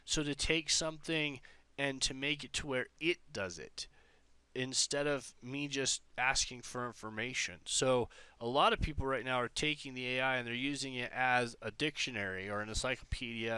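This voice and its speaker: A man presents an informal tech demo, thinking aloud as he goes. His voice is crisp and bright at a middling pitch. The sound is very dry, with no room around it. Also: normal speed, no echo. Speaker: presenter demonstrating an AI prototype. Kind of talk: casual tech demo talk.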